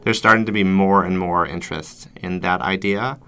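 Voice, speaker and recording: Bright-voiced male podcast host. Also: deep voice, steady tone, normal speed, clear, resonant tone. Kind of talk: podcast interview questions.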